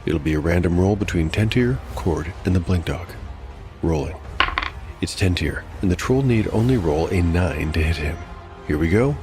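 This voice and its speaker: A man's voice: deep voice, moderate pace. A man narrating a solo tabletop game as game master, moving between dramatic storytelling and wry asides about the dice. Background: background music.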